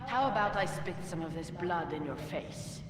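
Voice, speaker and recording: A woman's voice: fairly low pitch, expressive, normal speed, heavy background noise, close to an everyday voice. An actress playing a bitter, defiant woman prisoner who speaks with scorn in a dramatic video game scene. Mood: scornful defiance. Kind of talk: scripted dramatic game dialogue. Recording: distant echoey hall with background crowd.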